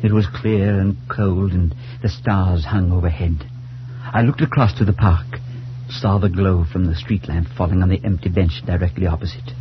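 An actor playing a grave, concerned priest in a solemn 1940s radio drama style, on a noisy old recording. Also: male voice, low-pitched, steady tone, moderate pace, lo-fi sound, natural voice. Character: grave priest, concerned friend recounting a horror. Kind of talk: 1940s radio acting and first-person narration.

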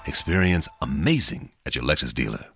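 A man's voice: extremely low pitch, sing-song, brisk, gravelly. A man reading a scripted car commercial voice-over. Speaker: commercial announcer. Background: loud music.

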